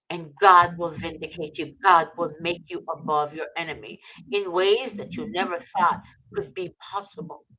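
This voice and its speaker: A woman's voice: lowish voice, fairly bright tone, rough recording. A middle-aged woman from Egypt speaking fervently about her faith, repeating phrases for emphasis. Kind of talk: impassioned faith talk.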